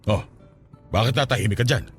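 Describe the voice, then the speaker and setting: An actor playing a caring, inquisitive male partner in a radio drama, solicitous and gently probing. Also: lowish voice, a little fast, breathy edge, faint music behind. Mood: caring, curious. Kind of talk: Tagalog radio drama acting.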